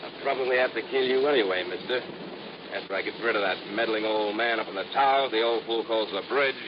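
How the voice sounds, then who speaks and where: A man's voice: on the low side, quick pace, lightly acted. An actor playing a menacing, gloating madman killer in an old radio crime drama, threatening and taunting. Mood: cold menace. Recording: muffled, very noisy vintage radio recording.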